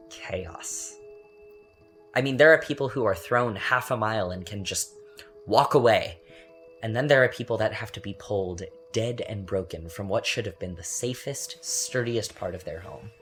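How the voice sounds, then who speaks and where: A man acting as a bitter, taunting young man in a confessional dramatic monologue, with a sardonic, halting, conversational delivery. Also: lowish voice, a bit bright. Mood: grim, unsettling. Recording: music under the voice. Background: music.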